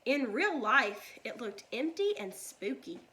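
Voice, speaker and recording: A woman reading a children's story aloud like a teacher, with deliberate, expressive delivery. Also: a little high.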